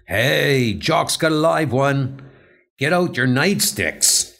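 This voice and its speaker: A man's voice: mid-range voice, expressive, slow pace, creaky, a bit bright, heavily acted. A man voicing a bullying, thuggish police stormtrooper who barks out menacing orders. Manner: barking, menacing.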